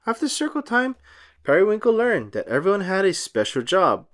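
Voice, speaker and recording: Animated, expressive children's storybook reader. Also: medium pitch, moderate pace. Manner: expressive, sing-song storytelling. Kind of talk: animated read-aloud of a children's picture book.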